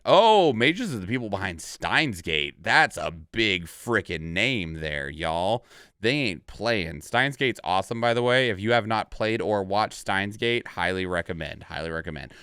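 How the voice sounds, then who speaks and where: A man's voice: lowish voice, lively intonation, measured pace, fairly bright tone, clear, resonant tone, dry recording, clean studio sound. A man hosting a reaction video, commenting casually on game trailers and often repeating words for emphasis.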